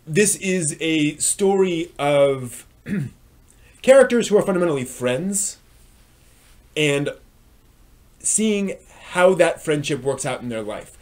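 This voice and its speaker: A man hosting a live stream review, talking unscripted in a halting way, often repeating and rephrasing himself. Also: on the high side, lively intonation. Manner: frequent throat clearing.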